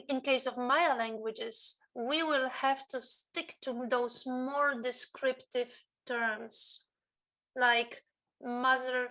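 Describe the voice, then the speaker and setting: Bright, high-voiced woman. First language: Polish. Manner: hesitant, many "like" and restarts. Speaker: symposium participant. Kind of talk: academic discussion.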